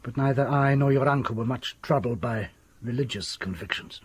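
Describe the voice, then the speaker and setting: An actor playing a haunted, sardonic country doctor in a British radio drama, with an English accent, a husky breathy voice and theatrical, deliberate delivery. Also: male voice, mid-range voice, natural voice. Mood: macabre, hushed. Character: haunted country doctor confessing grave robbing, sardonic, fearful.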